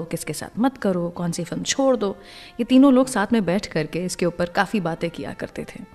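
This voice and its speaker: A woman's voice: medium pitch, darkish tone. Indian woman radio host in long reverb. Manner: conversational, mixes English words into Hindi. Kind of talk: radio show storytelling, introducing songs. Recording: long reverb, music behind voice. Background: background music.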